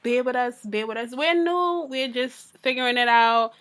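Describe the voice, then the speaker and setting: A woman co-hosting a podcast, chatting frankly and at ease. Her voice is raspy from a sore throat, yet it keeps a bright, forceful edge and sits a bit high. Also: moderate pace. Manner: chatty, laid-back, frank. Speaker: podcast co-host. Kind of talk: casual chat.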